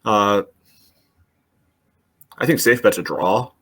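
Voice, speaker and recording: A man's voice: fairly low pitch, slow, a bit bright, husky. A man co-hosting a soccer podcast, talking in a laid-back, plain-spoken way. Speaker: podcast co-host. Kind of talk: casual podcast chat.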